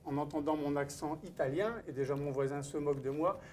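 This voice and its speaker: A man's voice: on the high side, steady tone, a little fast, a little mellow, slight background noise. A man hosting a live-streamed cooking event, welcoming viewers and introducing the guest chef in a formal emcee manner. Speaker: event host and wine author. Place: cooking school studio kitchen.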